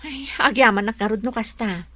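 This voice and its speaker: A woman with an Ilocano accent playing a warm, protective best friend in a radio drama. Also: on the high side, moderate pace, no echo. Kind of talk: Philippine radio drama acting.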